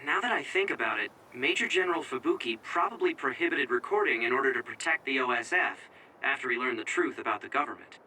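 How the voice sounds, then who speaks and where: An actor voicing a prickly, curt young tech-minded squad member in an English-dubbed anime game. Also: male voice, mid-range voice, lively intonation, fast talker, pushed and bright, distant mic, slight background noise, low-quality recording. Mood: explaining.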